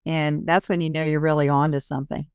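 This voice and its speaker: A woman's voice: low-pitched, little pitch movement, fast, clear, resonant tone, no room sound, studio quality. A woman hosting an interview in a conversational style. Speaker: interview host.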